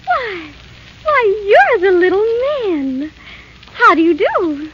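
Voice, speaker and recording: Very high, sweet young princess. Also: female voice, very animated, slow, heavily acted. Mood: delighted. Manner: breathless exclamations, polite and earnest. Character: sweet, innocent young princess, gentle and pleading. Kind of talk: 1930s radio drama acting. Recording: dull, noisy 1930s radio broadcast.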